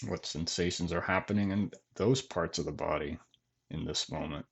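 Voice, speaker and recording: A man leading a guided body scan meditation in gentle, measured phrases with long pauses between them. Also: deep voice, creaky, slightly rough. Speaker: meditation teacher.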